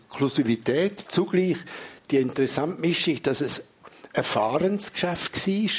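Older Swiss German man, husky voice, reflective and word-searching; live stage guest. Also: medium pitch. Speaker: writer. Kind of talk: live talk-show guest, reminiscing. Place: theatre stage before an audience.

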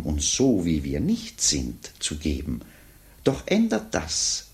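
Deep slow guy a wry smug narrator doing rhymes, old 50s radio cabaret thing. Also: heavily acted. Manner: theatrical, wry, measured declamation. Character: wry, self-assured male moralizing narrator in verse. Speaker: narrator introducing a radio play. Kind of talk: rhymed verse prologue, 1950s radio cabaret recital. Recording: 1950s radio recording.

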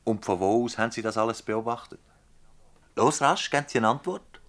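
A man's voice: medium pitch, a little fast, fairly bright tone, lightly acted. A man acting an insistent, dry public prosecutor in a Swiss German dialect radio drama, questioning formally and pointedly. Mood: insistent probing.

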